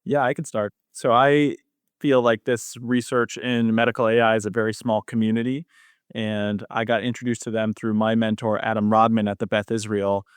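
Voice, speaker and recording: A man's voice: lowish voice, level tone, normal speed, smooth, no room sound, clean studio sound. A young man speaking as an expert podcast guest, explaining his work conversationally in an interview. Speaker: cardiology fellow, podcast guest.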